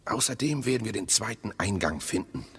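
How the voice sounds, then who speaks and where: A husky, low-voiced husband in a radio drama, insistent and mistrustful. His delivery is bright and forceful, with lively swings of pitch. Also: fairly quick, exaggerated voice. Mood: guarded, measured. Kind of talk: German radio play acting.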